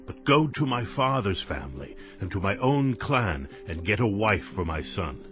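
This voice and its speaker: An actor playing a devout, earnest male servant on a mission in a dramatized audio Bible, speaking in a solemn, measured way. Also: fairly low pitch, sing-song. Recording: dull lo-fi audio with music behind.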